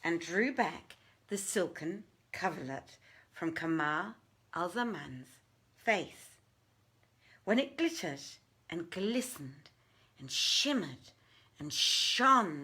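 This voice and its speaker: A woman reading a classic tale aloud as a dramatic, deliberate and theatrical storyteller. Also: low voice, expressive, sharp tone, slightly rough. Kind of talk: dramatic literary narration.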